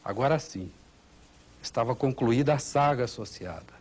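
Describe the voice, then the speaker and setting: A Brazilian man delivering a formal documentary recollection, solemn and rhetorical, in short measured phrases. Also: mid-range voice, expressive, darkish tone. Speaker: engineering manager giving testimony.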